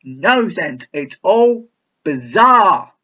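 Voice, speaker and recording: A man's voice: high voice, very animated, very slow, bright, forceful tone, lo-fi sound. A man giving casual, rambling video commentary in a chatty, repetitive, mock-baffled way. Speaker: reaction video host.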